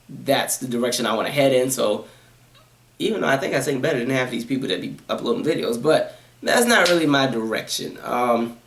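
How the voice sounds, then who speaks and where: A man with a high, nasal voice, rambling quickly through viewer questions in a casual vlog. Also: vocal fry, fairly bright tone, roomy sound, slight background noise. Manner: talks fast and rambles, with many fillers and asides. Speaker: YouTuber answering viewer questions.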